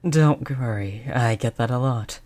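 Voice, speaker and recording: A performer voicing a polite vampire who looks like a child, gentle, reassuring and chatty in an audio roleplay. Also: mid-range voice, a little slow, natural voice. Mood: wry. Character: polite vampire turned young, stuck looking like a child. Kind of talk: audio roleplay spoken to the listener.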